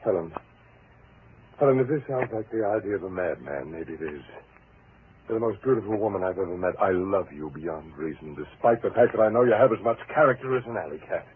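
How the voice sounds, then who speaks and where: Creepy bitter husband in 40s radio mystery, dramatic swoops in the voice, tinny and hissy. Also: male voice, deep voice, animated. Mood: anguished, desperate. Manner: deliberate, theatrical, mock-polite. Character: bitter, obsessed, menacing husband, coolly taunting. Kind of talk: 1940s radio thriller acting.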